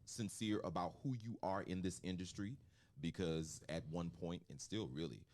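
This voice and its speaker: A man hosting a casual radio interview in a studio, talking loudly and effusively, close on the mic. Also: sits low, moderate pace. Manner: loud, effusive, animated. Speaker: radio host.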